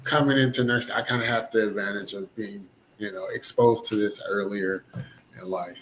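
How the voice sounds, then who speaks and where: A man's voice: lowish voice, steady tone, moderate pace, fairly bright tone, a little hiss or hum, low-quality recording. A man who is a new nurse on a panel, talking casually with fillers.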